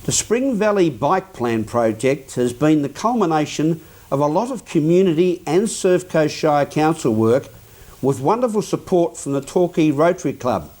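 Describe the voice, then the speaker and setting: A man's voice: fairly high pitch, lively intonation, moderate pace, a little mellow. Polished Australian male newsreader. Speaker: news presenter. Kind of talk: read news introductions.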